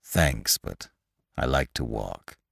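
Man playing a slow, deep, brooding undead man. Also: animated, gravelly, a bit bright, dry recording, clean studio sound, heavily acted. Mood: weary, curt. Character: brooding, vengeful walking dead man, weary and menacing.

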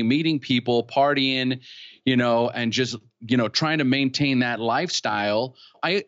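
A man's voice: mid-range voice, dry recording. A middle-aged man from California, a podcast guest, telling his own story frankly and with lots of feeling. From California, United States. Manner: animated, frank, laughs easily. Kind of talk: candid conversational storytelling. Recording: dull lo-fi sound.

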